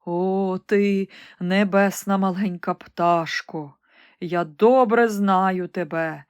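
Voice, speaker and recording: A woman voicing a frightened old emperor on his deathbed in a storybook reading, crying out in slow, drawn-out pleas. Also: medium pitch, steady tone, darkish tone, clear, resonant tone, no echo, dull audio, natural voice. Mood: grateful. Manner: slow, drawn-out pleading cries.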